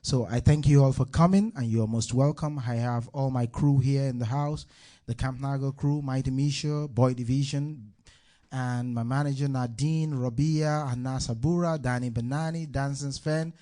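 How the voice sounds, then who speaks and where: A man hosts a live talk show from the stage of an art venue. He talks in a loose, chatty way as he introduces his guests, in a voice of middling pitch. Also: fairly even intonation, normal speed, warm tone.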